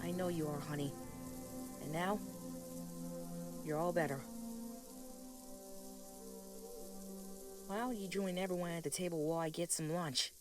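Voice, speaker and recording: A man voicing a kindly, patient older grandmother in a comic dub, speaking in a gentle, folksy, reassuring way. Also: a little high, a little fast, natural voice. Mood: tender. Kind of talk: scripted comic dub dialogue and storytelling. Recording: loud background music under voice. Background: film soundtrack music.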